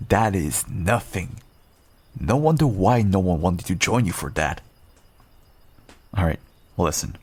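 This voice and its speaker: A man playing a calm, protective werewolf rogue in a fantasy roleplay audio drama, speaking in an earnest, measured way. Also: deep voice, slightly rough, exaggerated voice.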